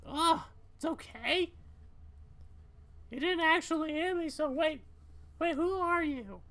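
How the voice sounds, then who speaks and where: An anxious, weary young adventurer played by a woman in a fan-voiced game. The voice is very high, with a blunt, hesitant delivery. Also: animated, takes it slowly, a bit bright, full character voice. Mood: wary, confused. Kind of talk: amateur game character voice-over.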